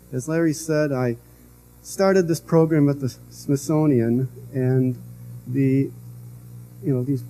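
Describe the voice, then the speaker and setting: An older man gives a lecture into a podium microphone, his voice soft and very dark. He speaks haltingly with many fillers, over faint background noise. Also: mid-range voice, rough recording. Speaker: guest lecturer. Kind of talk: public lecture. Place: lecture hall.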